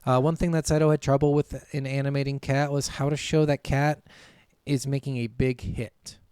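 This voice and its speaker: A man's voice: medium pitch, little pitch movement, moderate pace, a little mellow, clean tone, no room sound, studio quality. A man co-hosting a podcast, chatting informally with plenty of swearing and commenting on his notes as he goes. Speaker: podcast co-host. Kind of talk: casual chat, reading notes and commenting.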